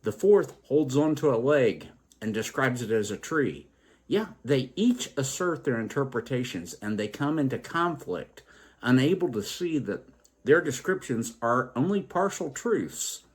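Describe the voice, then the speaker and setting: A male storytelling host with a mid-pitched voice that moves up and down freely. He takes his time, speaking deliberately and emphatically as he narrates and draws out a moral. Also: animated. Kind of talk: expressive storytelling with moral reflection.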